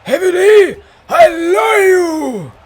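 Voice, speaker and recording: A young man casually commentating a fight video game, excitable and breaking into shouts at big moments. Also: very high-pitched, slow pace.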